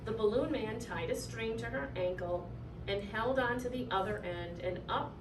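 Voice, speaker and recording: A woman reading a children's story aloud in an expressive, animated way, drawing out key words for effect. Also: fairly high pitch, normal speed. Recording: roomy, distant mic, clearly noisy.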